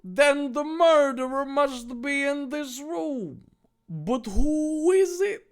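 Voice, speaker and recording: A man's voice: very high voice, animated, slow pace, pitched above the performer's own voice, exaggerated voice. A man voicing a hot-tempered, foul-mouthed party guest who speaks in indignant exclamations. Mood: puzzled. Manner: yelling, exclamatory.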